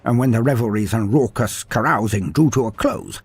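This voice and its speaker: Wry storybook narrator, breathy man. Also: mid-range voice, moderate pace. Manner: dry, wry tone with playful sidebars. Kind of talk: storybook narration with humorous asides. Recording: faint music behind narration.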